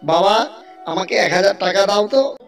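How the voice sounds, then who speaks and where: Earnest jobless village youth, played by a man, high voice, plaintive, cartoon drama, slightly distant with music. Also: expressive, normal speed, creaky. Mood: troubled. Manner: pleading, plaintive. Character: earnest, frustrated jobless young village son. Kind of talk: Bengali cartoon story dialogue.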